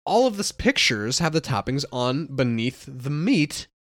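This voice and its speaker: Animated young man, rambling podcast talk. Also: mid-range voice, moderate pace, no echo, clean studio sound. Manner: animated, rambling, laughs often. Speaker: podcast co-host. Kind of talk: casual chat.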